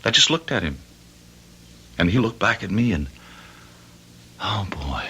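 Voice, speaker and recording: A starstruck fellow in an old radio mystery. His low voice is husky and swings widely in pitch. He is hesitant and self-effacing, yet gushes as he confides. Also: sing-song, unhurried, sharp tone, exaggerated voice. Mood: wondering, confiding. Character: starstruck, self-deprecating man, gushing and confiding. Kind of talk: old-time radio drama acting.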